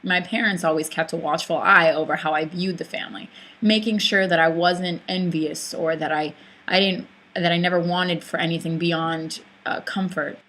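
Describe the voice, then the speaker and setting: A woman's voice: lowish voice, fairly even intonation, moderate pace, fairly bright tone. A young woman whose first language is not English, narrating a reflective personal essay, thoughtful, with hesitant fillers and restarts.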